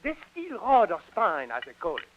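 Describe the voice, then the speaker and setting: A man's voice: high-pitched, huge pitch swings, normal speed. A man playing an obsequious, fussy Parisian dancing master with a French accent in an old radio drama, courteous and hesitant. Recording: noisy, dull old radio recording.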